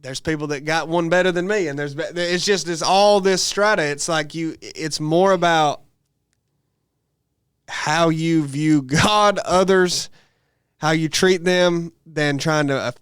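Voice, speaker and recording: A man's voice: a little high, unhurried, a bit bright, clean tone, no room sound, clean studio sound. An American man in a casual religious podcast discussion, talking in an emphatic, colloquial way as a host. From United States.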